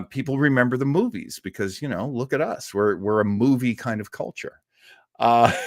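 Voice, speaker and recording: A man's voice: medium pitch, dry recording. Chatty man hosting a livestream, dry mic. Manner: rambling and chatty, with many fillers and restarts; reads out and answers viewer comments. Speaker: host of an old-time radio livestream. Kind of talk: casual livestream chat.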